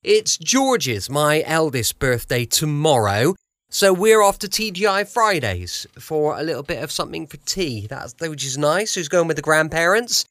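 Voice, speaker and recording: A middle-aged English man hosts a podcast in a casual, chatty way. His voice is bright and fairly high, with lively swings in pitch, recorded dry and close. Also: measured pace, dry recording. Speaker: podcast host.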